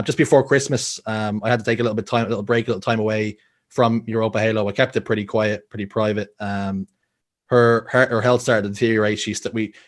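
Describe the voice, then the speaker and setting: A young Irish man with a Dublin accent, a guest telling personal stories earnestly with frequent restarts. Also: on the low side. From Ireland. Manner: earnest storytelling, many restarts. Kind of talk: casual podcast interview.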